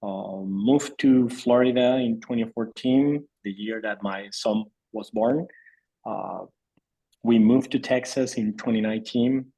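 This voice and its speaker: A man's voice: mid-range voice, a little slow, dark tone, breathy edge, rough recording. A man in his thirties from Venezuela, a native Spanish speaker, introducing himself in a friendly, hesitant way with many uhs. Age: 30s. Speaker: podcast guest.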